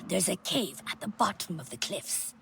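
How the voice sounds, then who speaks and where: A woman's voice: on the low side, lively intonation, moderate pace, vocal fry, pushed and bright, slight background noise, full character voice. A woman playing a worried but bold Na'vi huntress in a dramatic game cutscene, with grave, formal phrasing. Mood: firm, instructing.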